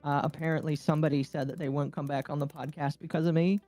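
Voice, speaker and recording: Woman, low-pitched voice, podcast co-host, casual chat, mock-serious teasing, soft music behind. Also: fairly even intonation, fast, dry recording. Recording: background music under voices. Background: background music.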